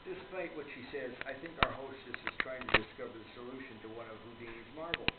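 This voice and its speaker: A man acts out an earnest older gentleman of letters in a radio play. He is formal and courteous, lecturing like a true believer. The recording is thin and noisy, with clear room echo. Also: medium pitch, quick pace, narrow, tinny audio, natural voice. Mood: wry. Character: earnest, genteel older gentleman author, devout spiritualist.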